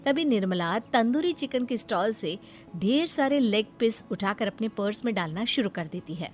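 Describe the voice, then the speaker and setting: A woman's voice: mid-range voice, animated, normal speed, soft background music. A woman reading scripted story narration.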